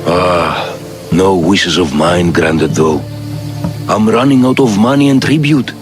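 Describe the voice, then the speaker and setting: A man's voice: low-pitched, takes it slowly, over music. An actor playing an anxious, superstitious poor Greek man in a video game, speaking in a plaintive, fretful way. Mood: troubled, pleading. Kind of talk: video game cutscene acting.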